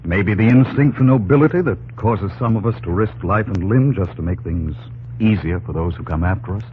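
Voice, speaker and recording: An American actor playing a noble, resolute expedition leader, earnest and dramatic, in an old-time radio drama. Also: male voice, lowish voice, brisk, a little hiss or hum, natural voice. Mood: grave, tense. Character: noble, resolute male explorer leading an expedition. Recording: tinny old radio recording with music.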